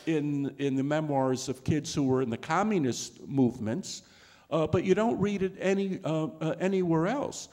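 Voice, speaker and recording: A man in his sixties answers questions on a lecture hall stage, speaking into a handheld mic. His voice sits a little high for a man. He talks thoughtfully, circling back with many restarts and "uh"s. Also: mellow. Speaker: panelist. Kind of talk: scholarly panel talk.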